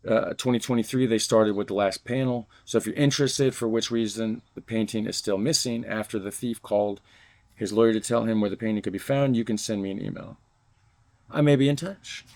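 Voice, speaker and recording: Low-voiced man reading a letter aloud. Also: steady tone, fairly quick, darkish tone. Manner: reads stiffly, then jokes loosely between passages. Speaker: vlogger unboxing fan mail.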